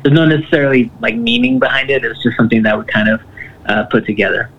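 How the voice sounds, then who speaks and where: A man, a band's keyboardist and bassist, telling a story in a loose, rambling way full of fillers. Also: fast talker, lo-fi sound. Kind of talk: casual interview.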